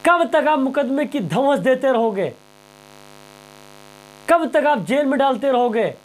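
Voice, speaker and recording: A man's voice: extremely high pitch, lively intonation, unhurried, slight background noise. A man presenting impassioned news commentary straight to viewers, emphatic and rhetorical, repeating phrases and questions.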